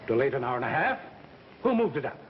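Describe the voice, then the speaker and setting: An actor playing a pompous, two-faced bigwig, both bullying and oily, in a 1930s film. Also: male voice, mid-range voice, sing-song, fast, close to an everyday voice. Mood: irate. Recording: muffled, noisy 1930s film soundtrack.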